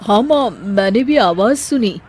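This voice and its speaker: A woman's voice: mid-range voice, lively intonation, unhurried, a little mellow, husky. A woman voicing concerned, earnest men, a husband and a doctor, in a cartoon story.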